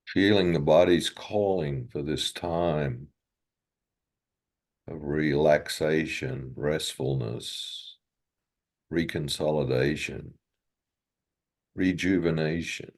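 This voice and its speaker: A man leading a guided meditation in a gentle, unhurried way, leaving long pauses between short phrases. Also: rumbling low.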